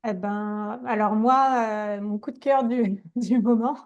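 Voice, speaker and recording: A woman's voice: medium pitch, fairly even intonation, slow, darkish tone, muffled recording. A woman chatting on a podcast, giggly and talkative. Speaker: podcast guest. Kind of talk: casual chat.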